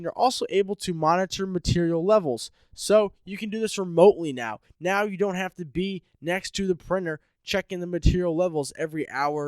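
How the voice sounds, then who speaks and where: A man's voice: a little high, smooth, dry recording. A man hosting a solo podcast, stuffed up with a head cold, explaining things in an upbeat, informal way. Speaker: podcast host.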